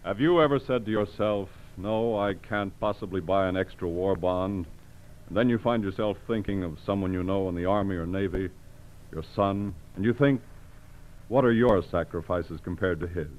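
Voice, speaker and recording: An American man reading scripted announcements in a formal, measured 1940s radio style. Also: low voice. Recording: dull 1940s radio recording.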